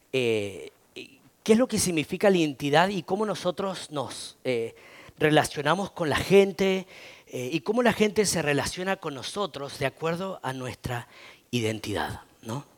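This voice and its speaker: A man with an Argentine Spanish accent preaching a conversational sermon as a pastor, often pausing on "eh" and asking "¿no?". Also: a little high, expressive. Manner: conversational, frequent filler "eh" and tag "¿no?".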